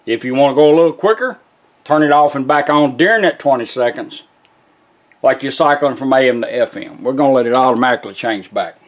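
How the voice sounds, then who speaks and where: A man's voice: mid-range voice, expressive, fairly quick. A middle-aged man gives an informal, plain-spoken product demonstration, explaining each step in turn. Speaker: vintage car radio technician demonstrating a product. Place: small indoor workshop at a bench.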